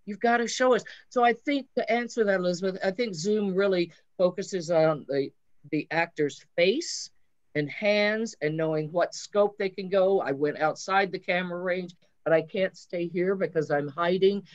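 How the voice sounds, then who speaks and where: A woman's voice: lowish voice, moderate pace, muffled recording. A woman theatre director and drama teacher explaining her craft in an interview, instructive and emphatic.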